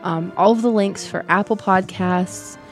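A woman with a slightly raspy voice talks through podcast news in a relaxed, rambling way. Her pitch sits in the middle of the range. Faint music plays underneath her. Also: measured pace. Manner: chatty, filler-heavy, upbeat. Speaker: podcast co-host. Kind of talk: casual chat.

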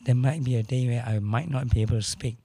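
A middle-aged man with a hoarse voice, speaking candidly as a podcast guest in casual conversation. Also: mid-range voice, quick pace, dark tone, no room sound. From Malaysia. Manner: candid, matter-of-fact, occasional restarts.

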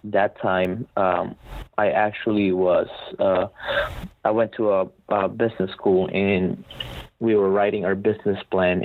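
A man in his twenties from the Philippines, a guest telling his story in a relaxed, rambling way with many fillers. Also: deep voice. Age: 20s. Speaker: podcast guest, reseller. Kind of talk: casual interview talk. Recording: tinny phone-like line, faint noise.

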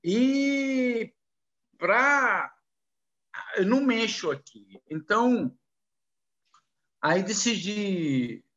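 A man speaking slow, halting, broken Portuguese as a non-native speaker, answering interview questions with many restarts. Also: sits high, expressive, a bit bright, highs cut off. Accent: foreign, non-native Portuguese. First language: not Portuguese. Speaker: interviewee, businessman.

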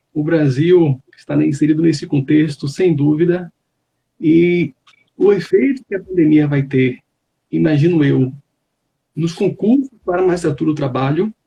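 A man, a labor judge, speaking in a measured, formal and deliberate way in a live online chat. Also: a little high, gravelly, mellow, slightly rough.

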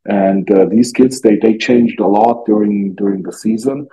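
A man's voice: on the low side, steady tone, normal speed, dark tone, low-quality recording. A man from Germany with a German accent, a non-native English speaker, giving informal interview answers as a youth hockey coach and searching for words as he goes. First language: not English.

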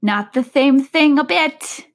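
A woman voicing an odd, rude male hatter who talks slowly and emphatically. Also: high voice, voice pushed up.

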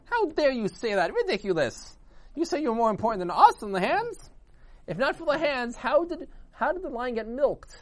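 Man voicing a high, indignant, boastful body part. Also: big pitch swings, moderate pace, dry recording. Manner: shouting, scornful, argumentative. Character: boastful indignant talking body parts, legs and hands, loud.

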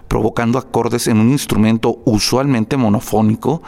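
A man's voice: mid-range voice, fairly even intonation, moderate pace, vocal fry, darkish tone. A man presenting spoken program notes before a classical concert, reading prepared text with fillers and restarts.

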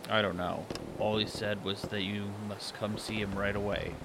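A man voicing a calm, stern, loyal first mate of a sailing ship, speaking in a terse, measured way. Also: fairly low pitch, background noise, natural voice. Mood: calm, firm.

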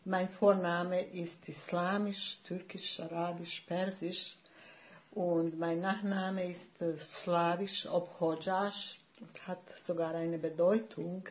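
Slow, hesitant Bosnian-accented older woman. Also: lowish voice, flat delivery, dull audio. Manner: hesitant, searching for words, many fillers. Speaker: writer, podcast guest. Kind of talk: podcast conversation.

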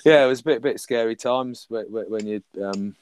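A man, a podcast guest, chatting casually and rambling with many fillers and restarts, his voice husky from a recent illness. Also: lowish voice, normal speed, darkish tone. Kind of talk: casual chat.

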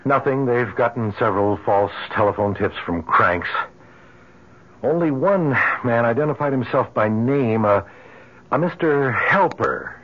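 Businesslike hospital doctor, husky voice, clipped formal delivery, old radio play, dull lo-fi recording. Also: male voice, medium pitch, animated, unhurried. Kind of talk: old-time radio drama acting.

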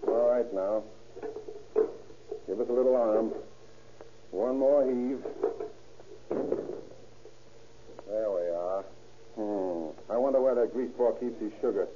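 An actor playing a wisecracking safecracker of about thirty in an old radio crime drama, slangy, sarcastic and defiant. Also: male voice, fairly low pitch, a little slow, long pauses, close to an everyday voice. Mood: brisk, greedy. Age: 30s. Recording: muffled, noisy old radio recording.

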